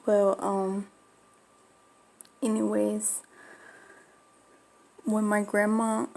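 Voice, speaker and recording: Woman w/ a slight mexican spanish accent, gravelly flat voice, rambling storytime vlog. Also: mid-range voice, extremely slow. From Florida, USA. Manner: rambling, confiding, many fillers. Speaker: bulldog breeder vlogger.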